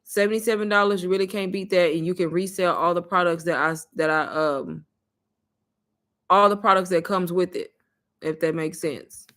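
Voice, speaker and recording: Chatty woman pitching on a livestream. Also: moderate pace, fairly bright tone. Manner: chatty, repeats herself, addresses viewers as "y'all". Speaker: online marketing coach hosting a livestream. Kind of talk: casual livestream sales pitch and Q&A.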